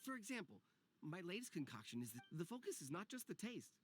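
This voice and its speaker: A woman playing a humble, flustered market snack seller in a video game, deferential and halting. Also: on the low side, big pitch swings, slight background noise. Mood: nervous eagerness. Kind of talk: English video game voice acting.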